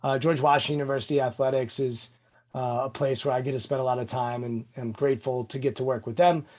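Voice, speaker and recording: A man's voice: medium pitch, flat delivery, fast, a little mellow. A man in his thirties, a mental performance consultant, speaking in an earnest, friendly way on a video call. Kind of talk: panel discussion on a video call.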